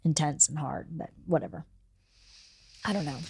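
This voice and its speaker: Chatty podcast host with a cold. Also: female voice, low voice, moderate pace, vocal fry, darkish tone, husky, no echo. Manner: chatty, candid, swears casually. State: has a cold, losing her voice. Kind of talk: casual chat between friends.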